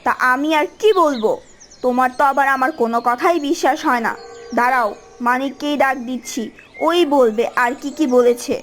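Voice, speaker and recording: A woman's voice: sits high, a little fast, a bit bright, slight background noise. A woman voicing a bad-tempered village aunt and housewife in a cartoon, nagging with a sarcastic edge. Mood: sulky complaining. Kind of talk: animated cartoon voice acting.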